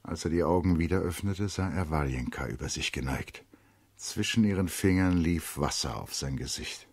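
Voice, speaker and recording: Deep-voiced man narrating a radio play. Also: slightly rough, no room sound. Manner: measured short phrases, expressive storytelling. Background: prominent music.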